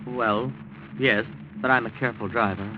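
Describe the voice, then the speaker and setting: A man's voice: medium pitch, steady tone, normal speed, lightly acted. An actor playing a spineless, tipsy, boastful rich young man who rattles easily, in 1940s radio drama style. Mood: nervous. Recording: muffled, noisy 1940s radio recording.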